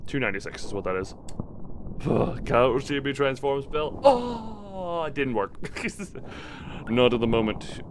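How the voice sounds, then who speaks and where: A man's voice: mid-range voice, animated, a little slow, noisy background. A man giving casual gaming commentary, thinking aloud in a wry way and muttering numbers and plans to himself as he streams. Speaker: game streamer.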